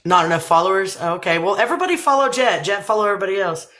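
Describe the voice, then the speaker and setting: A woman's voice: medium pitch, lively intonation, very fast, fairly bright tone. A woman from Texas with a Texan accent, hosting a live chat, teasing and swearing between laughs. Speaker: livestream host.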